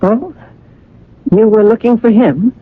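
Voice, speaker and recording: An actress playing a coy, hopeful woman in a radio drama, confiding with hesitant, arch pauses. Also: fairly high pitch, breathy edge, dry recording. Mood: coy, evasive. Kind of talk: 1980 radio drama acting. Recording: dull, hissy vintage radio recording.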